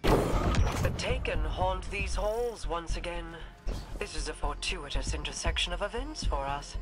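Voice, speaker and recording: An actor playing a composed, brooding sorcerer and scholar in a video game, measured, commanding and contemplative. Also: male voice, sits high, expressive. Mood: urgent, commanding. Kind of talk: dramatic video game dialogue. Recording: loud game music behind.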